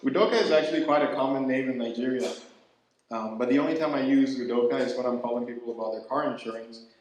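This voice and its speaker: A young man from Ghana, doing a stand-up set with a dry, halting delivery full of fillers and restarts, laughter behind him. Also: medium pitch, a little mellow. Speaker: stand-up comedian. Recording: very echoey room, faint noise. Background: audience laughter.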